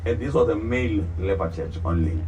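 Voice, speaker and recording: A man's voice: on the low side, lively intonation, fairly quick. A South African man whose first language is not English, guiding a tour group and calling them "good people" as he asks them questions. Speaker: tour guide. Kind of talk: guided bus tour narration. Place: tour bus. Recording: tinny distant PA-like sound with loud vehicle noise.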